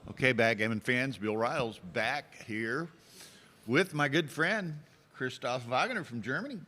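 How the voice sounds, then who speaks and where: A man with a bright, forward voice hosts a live game commentary in a conversational style. His delivery is measured, with pauses, and the sound carries long hall reverb. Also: mid-range voice, expressive. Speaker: commentator, host.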